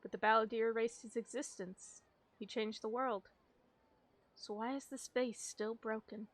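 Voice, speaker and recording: A woman's voice: a little high, steady tone, normal speed, a little hiss or hum. A woman game streamer reacting casually and expressively in an informal live commentary.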